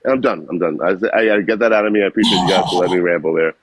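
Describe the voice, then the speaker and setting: A man's voice: low-pitched, racing, no room sound. A man on a live stream, ranting angrily with lots of swearing. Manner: profane, heated ranting.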